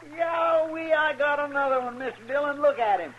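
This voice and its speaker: Muffled old radio recording, excitable frontier sidekick, high voice, Western drawl, folksy. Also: male voice, normal speed, slight background noise. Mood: excited, proud. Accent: American Western drawl. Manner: excitable, folksy, rustic grammar. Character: eager, folksy, complaining western sidekick to a marshal. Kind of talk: 1950s radio western acting.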